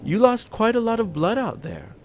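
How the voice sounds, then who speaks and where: A man playing a possessive, flirtatious vampire master in a roleplay audio drama, speaking formally and condescendingly with theatrical pauses. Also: high voice, wildly swooping pitch, a little hiss or hum. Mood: hungry, teasing. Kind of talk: scripted roleplay audio drama, addressing the listener. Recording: music behind the voice. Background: background music.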